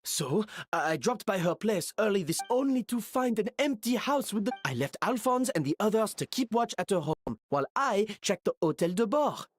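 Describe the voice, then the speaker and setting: A woman playing an earnest, conscientious and concerned colleague in an anime game, with a slightly husky voice. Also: medium pitch, sing-song, normal speed, few pauses, dry recording. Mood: worried.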